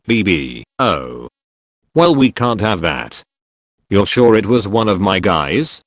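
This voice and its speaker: A man's voice: fairly low pitch, sing-song, slow pace, no room sound, studio quality. A man reading the lines of an auto shop boss on the phone, patient and matter-of-fact.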